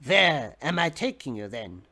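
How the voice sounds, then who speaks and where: A man voicing a suave, unhurried vampire city bus in a storybook reading, charming with a calm menace. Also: medium pitch, voice pushed down, heavily acted. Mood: calm, seductive. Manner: slow, smooth, charming.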